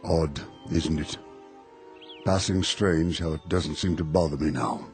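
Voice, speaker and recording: A courtly traveler in a tall hat. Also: male voice, rumbling low, measured pace, faint music behind. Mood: gentle. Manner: formal, gentle, old-fashioned phrasing. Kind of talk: radio drama acting.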